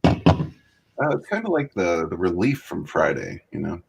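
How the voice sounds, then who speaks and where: A man's voice: low-pitched, wildly swooping pitch, a little slow, vocal fry, darkish tone, breathy edge, poor audio. A man hosting a casual livestream chat, greeting viewers by name and laughing often. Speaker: livestream host.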